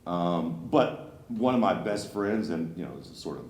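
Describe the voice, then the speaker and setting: A man in his thirties from Dayton, a podcaster, telling stories in casual talk-show chat with a deep voice. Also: animated, moderate pace, darkish tone, roomy sound. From Dayton, Ohio. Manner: laid-back, joking storyteller. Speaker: podcaster guest.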